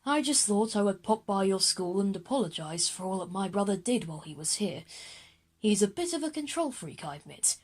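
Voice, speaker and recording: A woman voicing a posh, polite, genteel gentleman who is grand and apologetic, in a children's audio drama. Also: mid-range voice, lively intonation, a little fast, slightly rough. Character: posh, polite gentleman, self-styled master of the universe.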